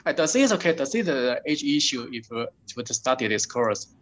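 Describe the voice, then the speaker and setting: A man's voice: mid-range voice, big pitch swings, fairly quick, a bit bright. A man with a Taiwanese accent, asking hesitant, apologetic questions with many fillers during an online webinar. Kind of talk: halting questions in a video call.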